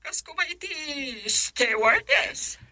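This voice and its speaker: Middle-aged radio drama auntie, actress, chatty, piercingly bright tone, close dry recording. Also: female voice, sits high, animated, takes it slowly, no echo, heavily acted. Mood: chatty, resigned. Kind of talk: Ilocano radio drama acting.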